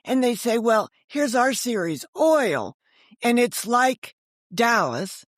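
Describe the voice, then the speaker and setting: A woman's voice: on the high side, sing-song, takes it slowly, no room sound, studio quality. A woman being interviewed as a guest, telling stories in a chatty, digressive way and voicing other people's remarks as she goes. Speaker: interview guest, author and storyteller. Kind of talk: animated anecdotal storytelling in casual conversation.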